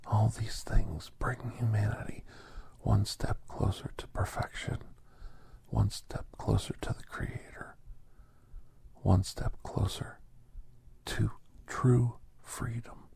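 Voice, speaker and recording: A man performs a gentle, solemn doctor telling an ASMR holiday tale in a low, very breathy whisper. The delivery is slow and reverent, with long pauses between phrases and a heavy gravelly crackle underneath. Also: dry recording, heavily acted. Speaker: narrator and storyteller.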